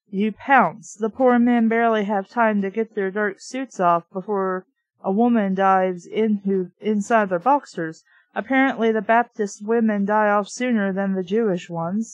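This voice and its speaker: A woman's voice: mid-range voice, no room sound, muffled recording. A woman reading a novel aloud and breaking off for frank, informal commentary in an amateur reviewer's style. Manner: informal, frank asides, mild swearing. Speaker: amateur book reviewer and reader.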